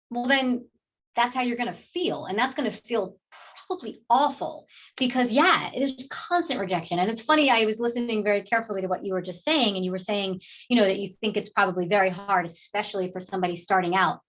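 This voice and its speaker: An actress from New York, chatting in an interview in an animated, talkative way, with many fillers and frequent laughter. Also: female voice, medium pitch, muffled recording. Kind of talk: casual interview chat.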